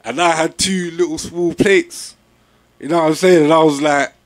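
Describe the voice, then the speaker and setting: Young London man, relaxed MC guest telling stories. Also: a little high, normal speed. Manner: laid-back, peppered with "you know what I'm saying". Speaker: MC interviewee. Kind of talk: casual interview chat.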